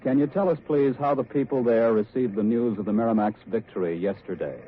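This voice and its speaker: An actor playing a courteous field newsman, interviewing in a formal, deliberate 1940s radio style. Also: medium pitch, natural voice. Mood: courteous, probing. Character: courteous field newsman gently questioning a witness. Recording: tinny, noisy vintage radio recording.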